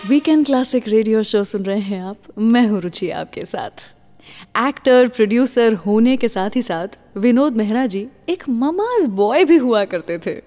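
A woman's voice: fairly high pitch, lively intonation, normal speed, dark tone, long reverb tail. An Indian woman hosting a radio show, telling stories to listeners in a scripted style and mixing in English phrases. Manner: mixes English words and phrases into the talk.

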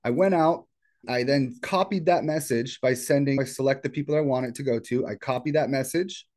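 A man presenting an informal step-by-step software tutorial in a casual, explanatory way. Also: mid-range voice, fairly quick. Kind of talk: casual screen-share tutorial. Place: indoors at a computer.

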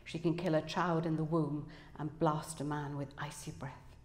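Woman reading as a bitter, low-voiced runaway husband, echoey. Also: little pitch movement, moderate pace, lightly acted. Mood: bitter, vehement. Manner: low-voiced, cold, accusing. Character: bitter, fearful runaway husband, coarse seafaring man. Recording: echoey room, public reading.

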